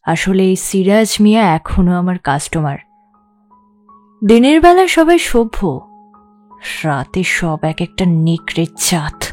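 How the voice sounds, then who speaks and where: A woman playing a wary, frank prostitute who confides her past in an audio story, sometimes sharp-tongued. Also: fairly low pitch, very animated, takes it slowly, pauses often, faint music behind. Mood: wary, confiding. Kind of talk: Bengali audio story acting.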